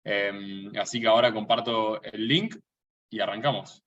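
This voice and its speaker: Brief: man, low-ish voice, relaxed webinar host, lots of "em" and restarts, distant muffled call audio. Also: measured pace. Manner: hesitant, many fillers and restarts. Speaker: co-founder and event host. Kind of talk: casual live webinar introduction. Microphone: video call.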